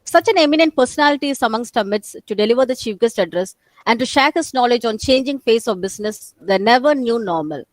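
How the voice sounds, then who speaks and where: A woman's voice: a little high, animated, fast talker, no echo. Dry-sounding woman anchoring a webinar. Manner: polite, ceremonial, formal phrasing. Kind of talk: formal anchoring of an academic event. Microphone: video call.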